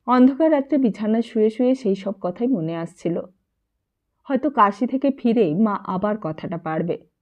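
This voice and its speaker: A woman reads a literary novel as an expressive audiobook storyteller, carrying the dialogue within her narration. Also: mid-range voice, moderate pace. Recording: muffled, narrow phone-like sound.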